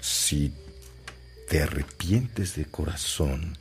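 An actor playing a devout, plain-spoken and compassionate priest in a radio drama, with a solemn pastoral manner. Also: male voice, rumbling low, lively intonation, drawn-out words, soft background music, exaggerated voice. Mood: solemn, forgiving.

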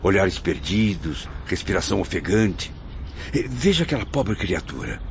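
A compassionate, troubled male spirit in a radio drama, speaking very low with wide dramatic swings. His delivery is emotional and grave. The sound is dull, with music underneath. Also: sing-song, normal speed, gravelly, a little hiss or hum, heavily acted. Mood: somber, observing. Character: male suicide spirit recounting and observing, compassionate, horrified.

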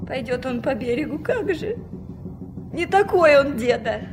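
A high, youthful female voice plays an impatient granddaughter full of worry. It is a Soviet radio drama, an old recording with clear noise behind the voice. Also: expressive, measured pace. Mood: anxious. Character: anxious, impatient young granddaughter aboard a riverboat. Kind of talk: Soviet radio play acting. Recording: noisy old mono radio play recording.

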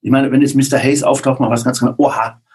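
Emphatic man with a slightly husky voice. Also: medium pitch, flat delivery, fast talker, darkish tone. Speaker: author and radio-drama writer being interviewed. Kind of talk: conversational interview answers with examples.

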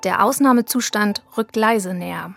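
A woman reporter giving scripted documentary narration in a calm, even, storytelling way. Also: mid-range voice, moderate pace, creaky, soft background music. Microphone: studio mic.

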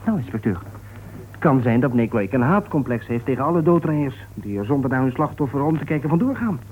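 An actor playing an earnest, respectful police assistant in a radio play, thoughtful and deferential. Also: male voice, medium pitch, animated, fast, slight background noise, close to an everyday voice. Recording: tinny old radio play recording.

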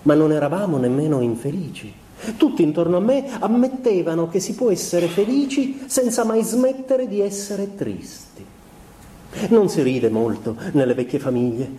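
An actor playing a sensitive, melancholy man who recalls an austere childhood in a measured, introspective stage monologue. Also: sits high, dark tone. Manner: measured, introspective, literary phrasing with deliberate pauses. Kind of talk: theatrical literary monologue. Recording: roomy, echoing sound with faint noise.

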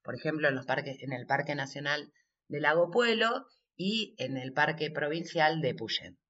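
A woman's voice: deep voice, lively intonation, normal speed, a bit bright, dull audio. A woman with an Argentine accent answering interview questions as a workshop coordinator, explaining things step by step.

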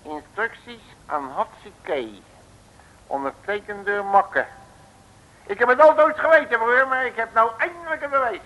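An actor playing a cocky, folksy detective in an old Dutch radio drama, slangy and boastful. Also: male voice, extremely high pitch, lively intonation, moderate pace, pushed and bright, heavily acted. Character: cocky, folksy male detective, fond of his uncle's sayings. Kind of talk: 1950s-60s Dutch radio drama acting. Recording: noisy old radio recording.

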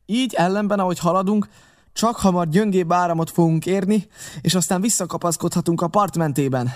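A man's voice: high voice, pitched above the performer's own voice, lightly acted. A man voicing a courteous, resolute male sea captain in an audiobook, giving clipped, urgent orders. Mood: urgent, firm.

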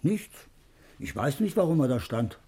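A man playing a sly, offhand, teasing acquaintance in a 1950s German radio play, with a raspy voice. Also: medium pitch, big pitch swings, normal speed, warm tone.

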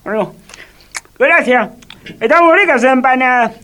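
High-pitched Argentine boy, sly and hungry. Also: lively intonation, sharp tone, exaggerated voice. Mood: sheepish, evasive. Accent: Argentine Rioplatense. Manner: halting, stammering excuses. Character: hungry, sly, hesitant young neighbour boy. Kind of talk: comedic radio theatre acting.

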